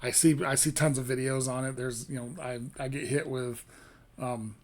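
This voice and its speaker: A man's voice: steady tone, moderate pace. A man hosting a music reaction video, talking casually in a rambling, hesitant way with lots of fillers and restarts. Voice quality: fairly low voice.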